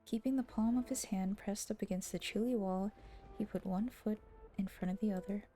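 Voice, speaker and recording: A woman with a mellow, medium-pitched voice streams a visual novel. She reads narration aloud and drops in playful, casual reactions. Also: normal speed, slight background noise. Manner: playful, exclaiming asides. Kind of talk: livestream voice-through with casual reactions and dramatic reading.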